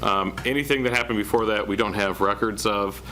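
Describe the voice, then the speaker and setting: Man, planning director type; low, bright, monotone delivery full of fillers. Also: a little fast, a little hiss or hum. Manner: self-described monotone, many uh fillers. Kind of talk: presenting at a public meeting. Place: council chamber.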